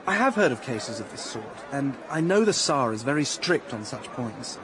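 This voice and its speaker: An actor playing an evasive, socially ambitious young staff officer in a radio drama, affable but condescending. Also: male voice, mid-range voice, very animated, normal speed, close to an everyday voice. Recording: busy crowd and street noise behind.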